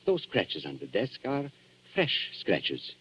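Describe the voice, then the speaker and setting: An actor playing a suave, menacing antique dealer with formal, sardonic politeness in a 1940s radio thriller. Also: male voice, on the low side, wildly swooping pitch, slow, fairly bright tone, husky, exaggerated voice. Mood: cool menace. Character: suave, menacing male antique dealer toying with guilty visitors. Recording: dull 1940s radio broadcast.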